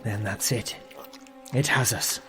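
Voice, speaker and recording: An actor playing a half-mad older British gentleman archivist in radio theatre, muttering in short theatrical phrases, urgent and commanding. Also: fairly low pitch, fairly even intonation, slow. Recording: long echoing reverb, music underneath.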